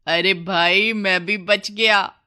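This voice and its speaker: A woman's voice: medium pitch, steady tone, takes it slowly, pushed and bright, clean tone. A woman voicing a frightened village man in a moral story, pleading and exclaiming as he bargains. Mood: relieved.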